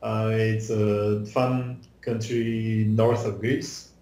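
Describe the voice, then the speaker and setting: A man's voice: fairly low pitch, flat delivery, very slow, mellow. A man in his thirties who grew up in Bulgaria, chatting casually in an interview over a video call, with many fillers and restarts. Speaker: guest, visual effects artist. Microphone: video call webcam mic. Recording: roomy echo on a video call.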